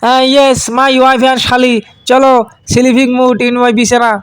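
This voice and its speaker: A man's voice: very high voice, completely flat delivery, takes it slowly, very noisy. A man voicing a clowning young brother-in-law with a Bangladeshi accent, drawing out showy broken English in an amateur cartoon. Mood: playful.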